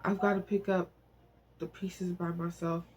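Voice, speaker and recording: A man reading the part of a grieving, gently reassuring widowed mother in a novel, with quiet restraint. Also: high-pitched, level tone, a little slow, a little hiss or hum. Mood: weary, resolute.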